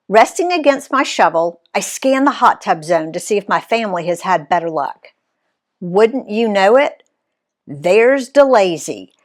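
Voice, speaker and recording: Woman reading a family travel story out loud, slow, voice bouncing all over. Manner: expressive reading, pitch swinging on key words. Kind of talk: first-person storybook read-aloud.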